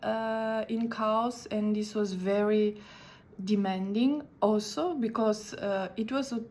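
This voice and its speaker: A woman from Slovenia, a native Slovene speaker with a Slovene accent, talking in a recorded video message as an interpreter, careful and halting with many fillers. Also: mid-range voice, fairly even intonation, a little mellow.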